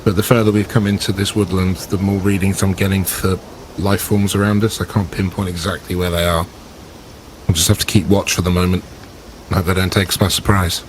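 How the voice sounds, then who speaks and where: Brief: low-voiced, level-headed starship officer, played by a man, brisk and practical. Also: flat delivery, a little hiss or hum, natural voice, poor audio. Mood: cautious. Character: steady male starship officer, practical and watchful.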